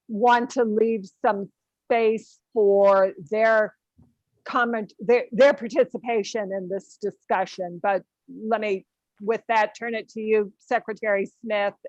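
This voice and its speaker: A woman speaking as a committee chair, moderating a formal meeting in a courteous, halting manner. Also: medium pitch, dry recording, dull audio.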